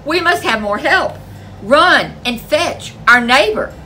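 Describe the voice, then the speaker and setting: A woman's voice: high voice, lively intonation, takes it slowly, pushed and bright, recorded from a distance, a little hiss or hum, pitched above the performer's own voice, heavily acted. A woman voicing a determined old farmer's wife in a folk tale, speaking emphatically and giving orders. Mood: urgent, commanding. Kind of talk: storybook character voice.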